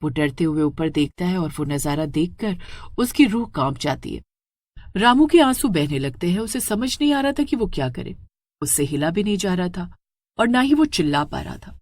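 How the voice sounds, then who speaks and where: A woman's voice: fairly low pitch, very animated, normal speed, a little mellow, dry recording, studio quality. A woman narrates a scripted horror story in a dramatic, suspenseful way.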